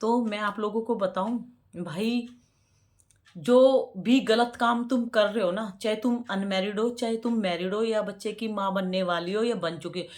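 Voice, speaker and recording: A woman's voice: mid-range voice, steady tone, unhurried, darkish tone. Chatty, preachy woman in her forties. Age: 40s. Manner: chatty and preachy, repeating words for emphasis. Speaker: vlogger. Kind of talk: casual commentary to subscribers.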